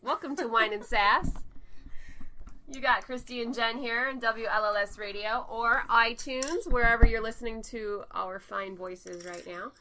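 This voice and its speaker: A woman's voice: on the high side, measured pace, background noise. A woman co-hosting a casual podcast, with a nasal voice, chatting and laughing often while telling stories. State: recovering from illness. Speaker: podcast co-host. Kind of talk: casual chat.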